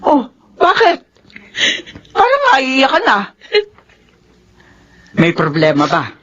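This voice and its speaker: Angry suspicious filipino mom in a radio drama, voice all over the place, kinda dull lofi sound. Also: female voice, high-pitched, wildly swooping pitch, slow, fairly bright tone, husky, heavily acted. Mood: alarmed. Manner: confrontational, exclamatory. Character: stern, suspicious, hot-tempered mother of grown daughters.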